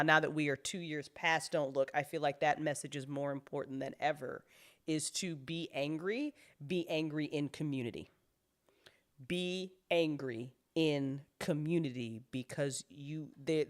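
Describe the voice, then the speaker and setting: A mid-pitched speaker holds forth in a casual live chat as a writer of audio drama. The delivery is rambling and dramatic, full of slang and swearing.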